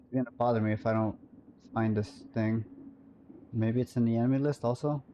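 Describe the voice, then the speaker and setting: A man's voice: mid-range voice, steady tone, a little fast, dark tone, muffled recording, a little hiss or hum. A man streaming a game live, chatting casually and musing aloud, often correcting himself. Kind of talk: casual live-stream chat while playing.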